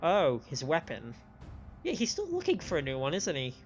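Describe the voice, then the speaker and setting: Male streamer, chatty explainer, higher-than-average pitch, slightly lo-fi sound. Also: huge pitch swings, moderate pace. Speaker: game streamer. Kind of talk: casual live game commentary. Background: game music.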